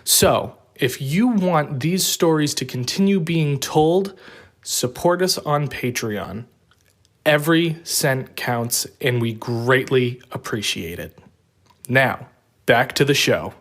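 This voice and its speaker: A man's voice: medium pitch, animated, fairly bright tone. A man, the show's writer and creator, making an earnest appeal for listener support. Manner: earnest, unhurried. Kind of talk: sponsor appeal read.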